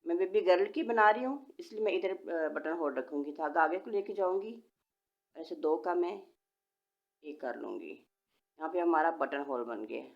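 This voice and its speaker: A woman walks through a knitting pattern step by step, patiently counting stitches aloud. Her voice sits fairly low and sounds a little changed by a sore throat. The recording is dull and lo-fi. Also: expressive, moderate pace, vocal fry. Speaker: knitting tutorial host.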